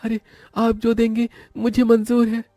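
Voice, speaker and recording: A man's voice: very high-pitched, completely flat delivery, measured pace, dark tone, no echo, studio quality, pitched above the performer's own voice. A man voicing a humble, devoted poor elder brother in a cartoon moral story, pleading and sorrowful. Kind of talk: animated moral-story acting.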